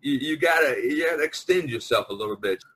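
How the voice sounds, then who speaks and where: A man's voice: mid-range voice, lively intonation, quick pace, a bit bright, rough recording. An older man hosting a casual group chat among artists over an online call, speaking in a rambling way peppered with "you know". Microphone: online video conference call.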